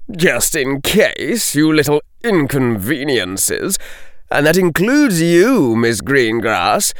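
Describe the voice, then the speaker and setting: Man playing a falsely jovial teenage bully, animated. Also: a little high, smooth, no room sound, studio quality, pitched above the performer's own voice, exaggerated voice. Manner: mock-jovial, condescending. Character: smug older teenage male bully, falsely jovial duelist.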